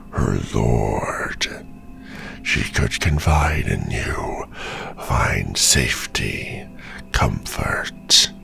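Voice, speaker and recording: An extremely deep, gravelly ancient werewolf. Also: male voice, sharp tone, exaggerated voice. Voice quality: gravelly, breathy growl. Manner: slow, deliberate, theatrical speech. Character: ancient werewolf veteran, menacing and sardonic beast. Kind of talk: ASMR fantasy roleplay acting.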